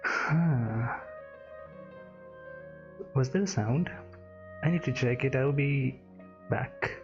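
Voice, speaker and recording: Very low-voiced woman reacting casually. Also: extremely slow. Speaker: gamer reacting to game story. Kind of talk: casual playthrough commentary. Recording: dull lo-fi mic over game music.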